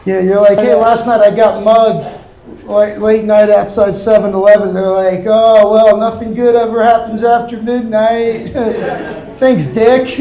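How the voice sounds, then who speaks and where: A young man from Miami doing a stand-up set on a comedy club stage, with a deadpan, offhand delivery and quick asides. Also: sits high. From Miami, Florida. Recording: roomy club with crowd laughter. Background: audience laughter.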